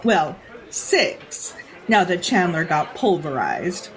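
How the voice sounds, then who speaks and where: Jaded, predatory society woman, slow and swooping. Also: on the low side, very animated, full character voice, poor audio. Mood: catty, amused. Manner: theatrical, drawling, haughty and teasing. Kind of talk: audio drama acting.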